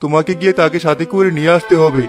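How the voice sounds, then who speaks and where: A man's voice: on the high side, steady tone, racing, faint music behind, lightly acted. A man voicing a humble, anxious village healer in a cartoon, explaining deferentially. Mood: anxious, pleading. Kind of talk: Bengali cartoon voice acting.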